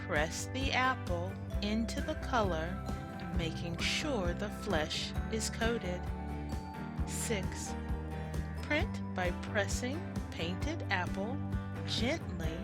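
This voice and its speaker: Woman, children's read-aloud, very slow delivery, careful teacherly enunciation, prominent background music. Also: medium pitch. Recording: loud music behind the voice.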